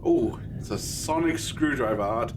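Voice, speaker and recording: A man's voice: mid-range voice, animated, a little slow, close to an everyday voice. A man playing an eccentric, whimsical time-travelling adventurer in an audio drama, musing and a little self-satisfied, over noise. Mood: proud, fond. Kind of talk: scripted audio drama acting.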